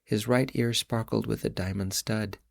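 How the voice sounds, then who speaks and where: A man reading his own novel aloud in a measured, reflective first-person storytelling voice. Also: fairly low pitch, fairly even intonation, gravelly. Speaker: audiobook narrator and author. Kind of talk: first-person audiobook narration.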